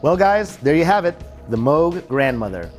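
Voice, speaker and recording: A man's voice: a little high, very animated, normal speed, faint music behind. A man hosting a product video, speaking in a presenter's sign-off style. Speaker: video host.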